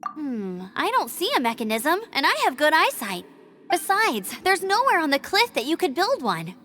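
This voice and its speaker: A woman's voice: sits high, very animated, normal speed, bright, forceful tone, a little hiss or hum, heavily acted. A woman playing a plucky, confident teenage scout knight in an anime-style video game, spirited and earnest. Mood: puzzled, frustrated.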